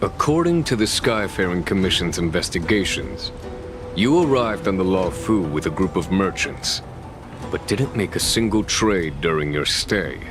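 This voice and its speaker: A man's voice: sits low, lively intonation, moderate pace, over music. A man voicing a composed, shrewd general in a video game, speaking formally with dry authority. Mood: stern, measured.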